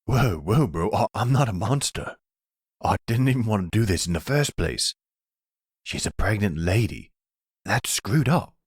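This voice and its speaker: A man's voice: fairly low pitch, lively intonation, unhurried, slightly rough, dry recording, studio quality, heavily acted. A man playing a panicky, dim young kidnapper in an audio roleplay, stammering and talking in bro slang. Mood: defensive, agitated.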